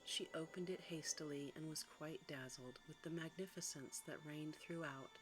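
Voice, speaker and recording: Low-pitched woman reading a sleep story. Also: normal speed, low-quality recording. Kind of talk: quiet ASMR sleep-story narration. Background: music.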